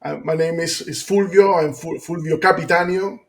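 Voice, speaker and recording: Brief: Italian man, raised in Rome, higher pitch, gravelly and flat, stumbling English. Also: moderate pace. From Italy, Rome. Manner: hesitant, many restarts and fillers. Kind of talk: interview testimony.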